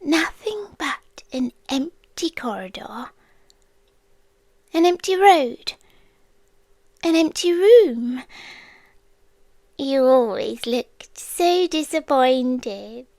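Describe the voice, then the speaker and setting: A British woman playing an otherworldly, possessive stalker girlfriend in an ASMR roleplay, soft and breathy, often whispering, intimate and eerily tender. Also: high voice, lively intonation, no echo, full character voice. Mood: quietly menacing. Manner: slow, deliberate, intimate, teasing.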